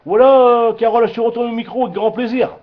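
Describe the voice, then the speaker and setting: A man's voice: very high-pitched, steady tone, fast talker, loud music behind the voice. A man chatting casually over amateur radio, hesitant and repetitive, often searching for his words. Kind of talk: casual radio contact chat. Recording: muffled amateur radio transmission.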